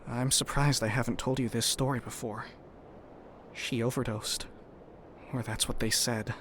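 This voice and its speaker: Male actor as loyal young spacefarer, natural mid-pitched voice, earnest and measured, slight ambience behind. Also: steady tone, fairly bright tone, a little hiss or hum, natural voice. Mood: somber. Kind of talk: audio drama acting.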